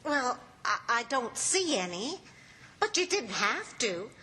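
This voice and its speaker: A woman's voice: a little high, very animated, takes it slowly, pushed and bright, husky, heavily acted, poor audio. A woman playing a teasing, affectionate middle-aged wife in an old-time radio comedy. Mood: pleased, affectionate.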